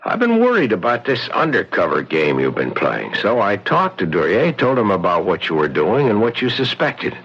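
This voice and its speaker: A level-headed, concerned in a 1970s radio play. His lowish, rough-edged voice reasons plainly with lively inflection. The old broadcast sounds muffled. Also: moderate pace, hardly any pauses, heavily acted. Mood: frank, reasoning. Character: concerned, sensible man calming a friend. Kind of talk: 1970s radio drama acting.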